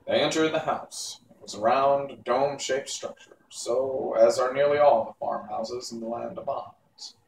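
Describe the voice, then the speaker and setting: A man reading a classic children's book aloud in an unhurried, expressive storytelling manner. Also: mid-range voice, gravelly. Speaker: audiobook reader. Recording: echoey room, distant mic, faint hiss.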